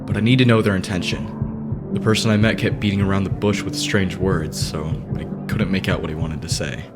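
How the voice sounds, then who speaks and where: Low-voiced, serious young idol played by a man. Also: fairly even intonation, fairly quick, natural voice. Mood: stern, indignant. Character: serious, proud, hot-headed teenage boy idol, easily slighted. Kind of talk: anime fan dub acting. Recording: loud music under the voice.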